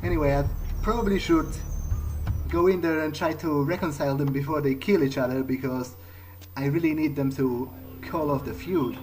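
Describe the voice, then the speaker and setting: A man role-playing through a video game, thinking aloud in halting, in-character commentary. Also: on the high side, a little hiss or hum, poor audio. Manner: halting, muttered self-talk with swearing. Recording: loud game music behind. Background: video game music.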